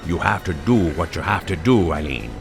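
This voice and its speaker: A man playing a weary, commanding bulldog pirate captain in an audio drama, with a gruff theatrical delivery. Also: low voice, animated, moderate pace, music in the background. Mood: grave.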